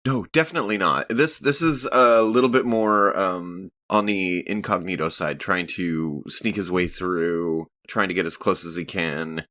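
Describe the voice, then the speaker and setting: A man playing a tabletop role-playing game, casually and haltingly thinking his plans aloud. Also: low-pitched, bright, forceful tone. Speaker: player in a tabletop RPG.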